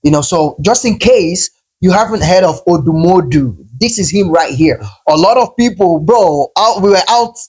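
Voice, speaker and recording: A man's voice: high-pitched, lively intonation, normal speed, hardly any pauses, darkish tone, slightly rough. A man hosting a casual podcast interview, talking with enthusiasm and heaping praise on his guest. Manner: enthusiastic, encouraging, repeats praise. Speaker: podcast host.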